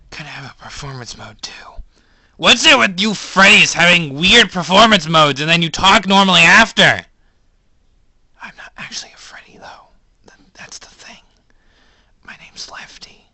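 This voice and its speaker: A secretive male animatronic bear that speaks entirely in a breathy, hushed whisper, as a man puts him on. The whisper is calm and steady and sounds close and dry, as if murmured right into the mic. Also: high voice, unhurried, pauses often, no room sound, exaggerated voice.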